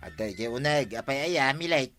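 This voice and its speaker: A man's voice: mid-range voice, expressive, moderate pace, over music, lightly acted. A man playing an exasperated villager in a radio comedy drama, complaining and exclaiming with an Ilocano Filipino accent. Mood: grumbling.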